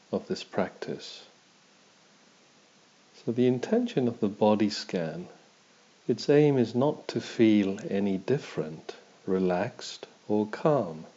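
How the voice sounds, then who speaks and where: A man leads a guided meditation in a dark, soft-edged voice. He speaks very slowly and calmly, giving measured instructions. The audio is somewhat dull and muffled. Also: lowish voice, breathy edge. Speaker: meditation teacher. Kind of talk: guided body scan meditation.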